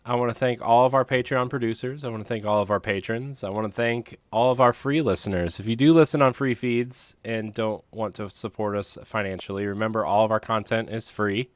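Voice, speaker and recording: A man co-hosting a podcast in casual chat, talking in a rambling, halting, self-deprecating way. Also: on the low side, fairly even intonation, no echo. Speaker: podcast co-host.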